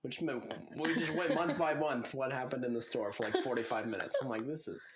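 A man's voice: medium pitch, steady tone, brisk, heavy background noise. Dry-joking young man co-host. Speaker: podcast co-host. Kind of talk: casual chat.

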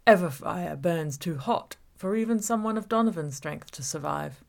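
A woman's voice: fairly low pitch, animated, normal speed, vocal fry, a little mellow, pitched above the performer's own voice. A woman voicing a taunting, manipulative male villain in an audiobook, gloating and mock-reasonable. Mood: gloating menace. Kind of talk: audiobook character voice.

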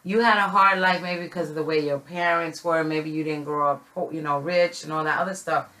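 A middle-aged woman hosts a relaxed group podcast, her tone bright and forceful. She talks loosely, stacking "you know" as she works through her thoughts. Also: low voice, fairly quick. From not from Connecticut. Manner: conversational, many fillers and "you know". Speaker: podcast host. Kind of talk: casual group discussion.

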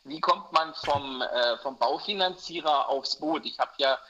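A man in his forties from Berlin, a mortgage broker talking in a relaxed interview, chatty with many fillers and restarts. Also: a little high, a little slow. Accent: Berlin German. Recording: muffled, lo-fi sound.

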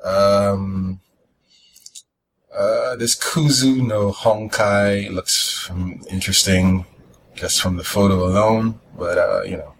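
A man's voice: lowish voice, steady tone, takes it slowly, a little hiss or hum. A man chatting casually as a podcast host, musing hesitantly as he goes. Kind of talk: casual chat.

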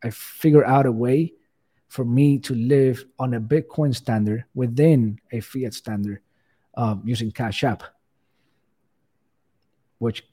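Guest man, warm husky tone, earnest, full of "you know"s. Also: mid-range voice, steady tone, slow, long pauses. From Cuba. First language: Spanish. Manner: earnest, many "you know" fillers and restarts. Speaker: podcast guest. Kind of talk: casual interview talk.

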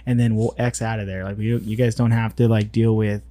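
A man's voice: lowish voice, flat delivery, fairly quick, warm tone, dry recording. A man hosting a casual chat as a wedding photographer, loose and chatty, laughing often. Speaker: podcast host, wedding photographer.

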